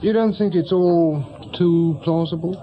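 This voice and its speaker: An actor playing a worried, probing retired police detective, middle-aged and British, with a hesitant, understated questioning manner. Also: male voice, fairly high pitch, fairly even intonation, a little slow, muffled recording. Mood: doubtful, dry. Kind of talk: naturalistic radio drama acting.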